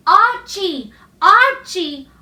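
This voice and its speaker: A woman reading a storybook, voicing a sweet, happy ghostly wife who calls out in drawn-out words. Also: extremely high pitch, very animated, pushed and bright, voice pushed up, exaggerated voice. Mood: sweet calling. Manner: calling out, drawn-out. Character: sweet, happy dead wife calling from afar. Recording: echoey room, distant mic.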